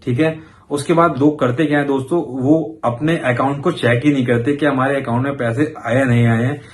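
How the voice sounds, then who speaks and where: A man's voice: medium pitch, fairly even intonation, a little fast. A man hosting an informal explainer for viewers, talking conversationally and mixing in English words. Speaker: host of a banking awareness video channel.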